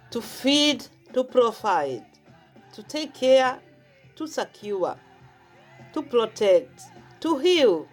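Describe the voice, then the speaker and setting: Kenyan woman, Swahili native, casual devotional vlog talk, faint music under her. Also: mid-range voice. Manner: repeats phrases, explains herself at length. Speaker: vlogger sharing faith. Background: faint gospel song playing.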